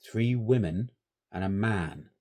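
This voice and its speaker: A man voicing a grave, superstitious old shepherd who talks slowly in rustic dialect. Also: fairly low pitch, animated, warm tone, slightly rough, heavily acted.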